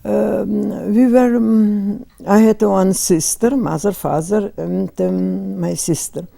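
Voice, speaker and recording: A woman in her eighties from Lithuania, with a Lithuanian accent, speaking slowly and hesitantly in an oral history interview. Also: mid-range voice, fairly even intonation, gravelly, mellow. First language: Lithuanian and Russian. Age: 80s. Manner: slow and hesitant, many pauses and fillers. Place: museum interview room.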